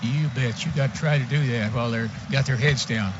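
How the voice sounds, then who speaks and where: A man's voice: mid-range voice, fairly even intonation, a little fast. A man giving live radio color commentary on football in short conversational asides. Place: stadium radio booth. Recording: dull broadcast, clear crowd noise. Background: stadium noise.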